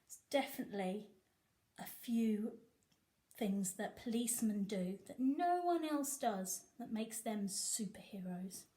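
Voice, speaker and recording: A woman's voice: medium pitch, lively intonation, unhurried, dark tone. A woman reading a picture book expressively to children, emphatic and sing-song on rhymes.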